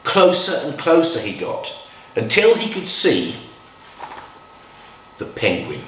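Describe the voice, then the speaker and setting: A man reading a picture book aloud to children in a measured, expressive storytelling style with dramatic pauses. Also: fairly high pitch, a little hiss or hum. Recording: roomy echo, distant from mic.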